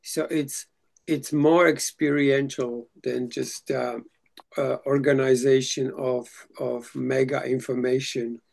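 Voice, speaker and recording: A man whose first language is Slovak, leading a casual reading group chat and speaking haltingly, with frequent restarts. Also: mid-range voice, level tone, gravelly, a little mellow. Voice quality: halting, many restarts and fillers.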